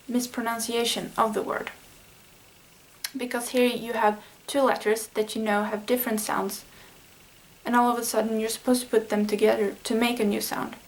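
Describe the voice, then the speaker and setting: Swedish woman, native speaker of Swedish, earnest lesson vlogger; light-pitched, steady, little melody. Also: low-quality recording. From Sweden. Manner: earnest, thinking aloud with small fillers. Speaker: language learning vlogger. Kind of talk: casual explanatory vlog lesson.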